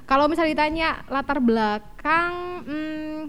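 Higher-pitched joking woman lecturer. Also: normal speed, fairly bright tone. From East Java, Indonesia. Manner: joking, laughs often, repeats words. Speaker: university lecturer and vice dean, podcast guest. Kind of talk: casual podcast chat.

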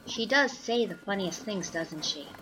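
A woman's voice: mid-range voice, animated, unhurried, over music, close to an everyday voice, poor audio. A woman playing a mild, timid young woman, earnest and hesitant, in an old-time radio drama. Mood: dreamy.

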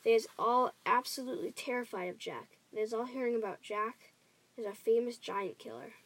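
A gentle, kindly giant from a storybook, read by a woman. The voice is rather high, with careful explaining and quaintly muddled grammar. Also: normal speed, lightly acted. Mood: confiding. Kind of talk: storybook reading.

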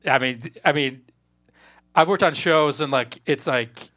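A man giving a casual interview as a guest writer, halting and doubling back on his words. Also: fairly high pitch, pushed and bright. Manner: halting, repeats words and backtracks. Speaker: guest screenwriter.

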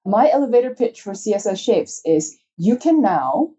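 A woman's voice: medium pitch, very animated, moderate pace, mellow, breathy edge, dull audio. A woman from Malaysia chatting casually and enthusiastically as a guest developer, telling stories in a rambling way. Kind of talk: casual conversational talk.

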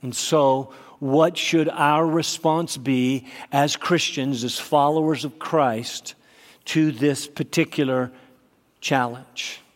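A male pastor preaching a sermon, slightly nasal from a cold, emphatic and rising in pitch on his key points. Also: fairly even intonation, slow. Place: church sanctuary. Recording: long hall reverb.

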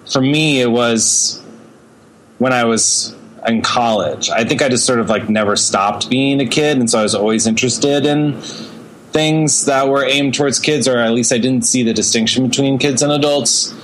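Male interview guest, medium pitch, thoughtful stop-start casual delivery, faint noise behind him. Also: rough recording. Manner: halting, thoughtful, informal. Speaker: interview guest, creative director.

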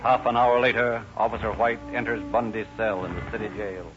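An American man announcing and narrating in formal, measured 1930s radio style. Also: fairly low pitch, level tone, music in the background. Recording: muffled, noisy 1930s radio broadcast.

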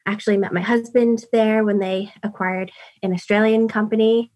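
A woman from New York tells her career story with a clear New York accent. She talks in a casual, chatty way, leaning on fillers as she goes. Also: medium pitch, level tone, moderate pace, darkish tone. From New York City. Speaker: guest. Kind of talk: casual interview talk.

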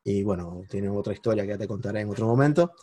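A man with a Cuban accent, a podcast guest telling his story in lively casual conversation and bursting into high exclamations at the big moments. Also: quick pace, dry recording. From Cuba. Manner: animated, jumps into high-pitched exclamations when retelling moments.

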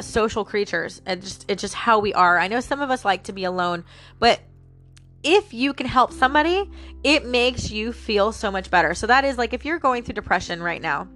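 A woman's voice: mid-range voice, fairly bright tone. A woman with a stuffy nose and a slightly nasal voice, rambling and musing aloud to her listeners as host of a personal talk show. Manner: chatty, musing aloud, addresses listeners as guys. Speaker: podcast host.

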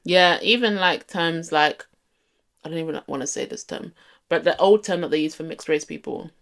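Young London woman, low voice, thoughtful podcast co-host. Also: normal speed, fairly bright tone. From England. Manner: reflective, earnest, many "like" fillers. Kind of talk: casual chat.

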